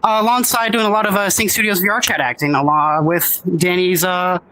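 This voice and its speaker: Bright, excitable young man on a panel. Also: high-pitched, rough recording. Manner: excitable, joking, quick asides. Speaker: writer and producer, panelist. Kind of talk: convention panel talk.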